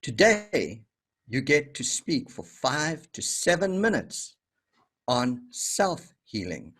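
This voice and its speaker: Slow, lively-voiced male host. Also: fairly high pitch. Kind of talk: hosting an online speakers meeting. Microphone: video call.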